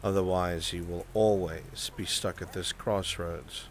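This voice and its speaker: A man playing a patient, cryptic dark sage in improvised fantasy roleplay, giving ominous counsel in a solemn, measured, portentous way. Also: low voice, steady tone, slight background noise.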